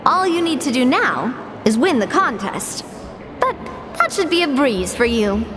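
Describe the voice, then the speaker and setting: A woman's voice: high voice, sing-song, unhurried, heavy background noise. A woman playing a cheerful, confident young friend in a video game, upbeat, playful and encouraging. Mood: confident, spirited. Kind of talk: English video game voice acting. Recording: loud music behind the voice.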